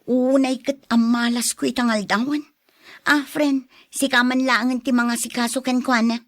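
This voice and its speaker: A female actor as an exasperated young Ilocano woman in a radio drama. Her voice is somewhat high and her manner curt and dismissive. The recording is dry. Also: steady tone, normal speed, no room sound, lightly acted. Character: blunt, exasperated young single woman, devoted daughter.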